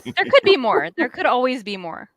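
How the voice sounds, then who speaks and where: Higher-voiced woman in playful banter. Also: sing-song, moderate pace, fairly bright tone, slight background noise. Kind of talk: casual banter.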